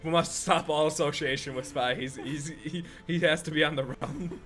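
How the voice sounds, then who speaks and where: A man's voice: fairly high pitch, steady tone, normal speed, a bit bright, soft background music. A man in his twenties chatting casually and teasingly as he hosts a gameplay stream on a close microphone. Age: 20s. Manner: joking, teasing. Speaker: streamer, host. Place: indoor streaming setup, seated. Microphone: close boom-arm microphone.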